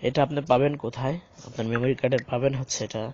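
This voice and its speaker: A man's voice: mid-range voice, little pitch movement, rapid-fire, a loud crowd. A man giving a hesitant, step-by-step phone tutorial, explaining and repeating the screen prompts. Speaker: tutorial host for blind users. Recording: dull lo-fi home recording.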